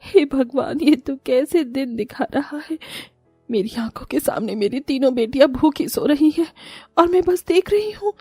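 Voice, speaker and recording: A woman's voice: high voice, lively intonation, normal speed, mellow, no echo, soft background music. A woman voicing a poor, long-suffering, devoted mother in a cartoon story, sorrowful and plaintive. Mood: grieving.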